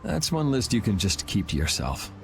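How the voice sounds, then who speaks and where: A man's voice: fairly low pitch, big pitch swings, moderate pace, vocal fry. A man playing a cool, sardonic young swordsman in a video game, with a dry, laid-back way of talking. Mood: dry, questioning. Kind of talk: video game dub acting. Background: background music.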